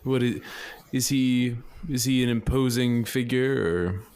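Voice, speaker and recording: A man's voice: medium pitch, measured pace, a little mellow, clean tone. A man playing a tabletop game, casually describing what his character does and asking questions.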